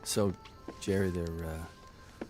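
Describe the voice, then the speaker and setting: An actor playing a weary, stubborn newspaper columnist in an audio drama, halting, irritable and sardonic. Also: male voice, low voice, steady tone, over music. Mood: subdued, reflective. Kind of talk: naturalistic audio drama acting.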